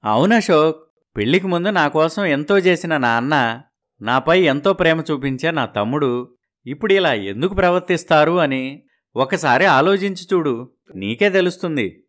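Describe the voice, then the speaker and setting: A man's voice: fairly high pitch, expressive, moderate pace, a bit bright. A man voicing earnest village men giving advice in a scripted cartoon moral story. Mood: earnest advising.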